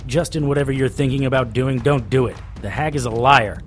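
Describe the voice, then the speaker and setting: A man's voice: mid-range voice, a little fast, faint music behind, natural voice. A man playing a tough, wry supernatural investigator, acting and narrating in a noir audio drama. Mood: steady, protective. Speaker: narrator and lead character.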